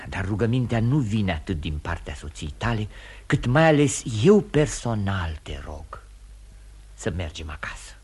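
An actor playing an earnest, proper gentleman in radio theatre, speaking formally and with restrained pleading. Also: on the low side, sing-song, slightly rough. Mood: quietly pleading. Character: earnest, proper gentleman, loyal family friend and envoy. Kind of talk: mid-20th-century radio theatre acting. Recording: old radio drama, music behind.

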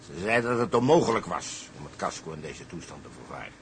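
Brash, low-voiced sea captain. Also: male voice, big pitch swings, fast, slight background noise. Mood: businesslike. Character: determined, brash male sea captain dreaming of retirement. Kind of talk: Dutch radio drama acting.

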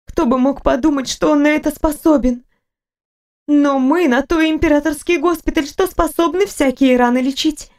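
A woman voicing a fussy, talkative young female nurse with a high, ringing voice. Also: a little mellow, lightly acted.

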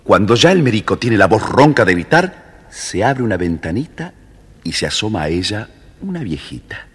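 Deep-voiced male fairy-tale narrator. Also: normal speed, breathy edge. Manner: expressive storyteller. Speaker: storybook narrator. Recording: old record, long reverb, faint noise.

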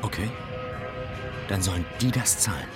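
A man's voice: sits low, lively intonation, normal speed, loud music behind the voice. A man playing a grumpy, coarse young traveller with slangy speech in a radio drama. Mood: disgruntled. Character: grumpy, sweary, vengeful young male traveller. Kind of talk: naturalistic radio drama dialogue.

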